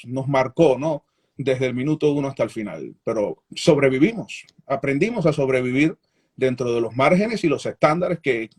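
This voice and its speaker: A middle-aged Cuban man with a Cuban accent, a journalist answering interview questions in a measured, candid way with frequent restarts. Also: mid-range voice, darkish tone, husky. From Cuba. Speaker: journalist guest.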